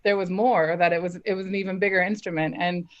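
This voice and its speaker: A woman's voice: fairly low pitch, steady tone, quick pace. A young adult woman, a dramatic soprano, talking candidly and emphatically in an interview, laughing often. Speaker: opera singer, dramatic soprano. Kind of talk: casual interview talk. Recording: slightly dull live stream audio.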